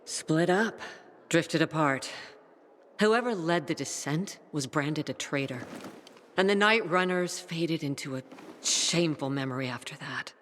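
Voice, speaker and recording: Grim, higher-voiced male peacekeeper officer. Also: lively intonation, measured pace, a bit bright, a little hiss or hum. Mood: somber. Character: grave male Peacekeeper officer in a post-apocalyptic city. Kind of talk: video game dialogue, briefing and recounting.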